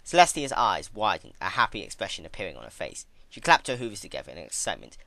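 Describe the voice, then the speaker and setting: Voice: low voice, wildly swooping pitch, fast, gravelly, pushed and bright, no room sound. A narrator giving a dramatic scripted reading of a story.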